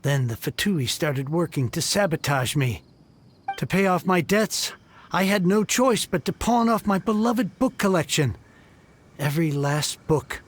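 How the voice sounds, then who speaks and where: A man's voice: fairly high pitch, expressive, measured pace. An actor playing an impoverished, theatrical middle-aged book collector who laments his lost books. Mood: lamenting. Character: impoverished middle-aged bookish shopkeeper, dramatic.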